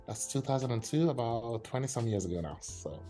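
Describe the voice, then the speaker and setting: A man's voice: mid-range voice, expressive, brisk, warm tone, soft background music. A man from Nigeria, a startup founder, explaining his app in a casual online meetup with enthusiasm and many fillers.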